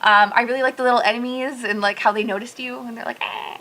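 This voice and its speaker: Higher-pitched woman chatting casually. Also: steady tone, fast, pushed and bright, low-quality recording. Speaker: podcast guest panelist. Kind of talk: casual chat.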